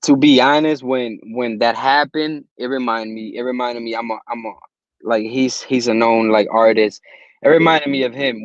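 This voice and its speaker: A young man from Puerto Rico, a guest in a casual interview, talking in relaxed slang with many restarts. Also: mid-range voice, no echo, muffled recording. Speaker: guest, music distributor.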